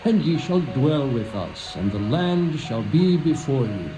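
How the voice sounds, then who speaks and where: An actor playing a dignified older father and ruler of ancient times, speaking in a courtly, deliberate way in a scripture drama. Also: mid-range voice, sing-song, poor audio. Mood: courteous appeal. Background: music.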